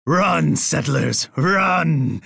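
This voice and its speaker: A man acting a proud, bloodthirsty Aztec emperor for a video game, with a husky voice and an imperious, boastful manner. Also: a little high, lively intonation, very slow, a bit bright, dry recording, clean studio sound, full character voice. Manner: imperious, boastful, speaking of himself in third person. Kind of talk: video game character voice lines.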